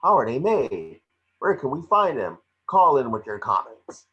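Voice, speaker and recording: A man's voice: medium pitch, very animated, unhurried, fairly bright tone, breathy edge, highs cut off, full character voice. A man playing an earnest tabloid talk show host in a table read, with a dramatic presenter's delivery. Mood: sensational.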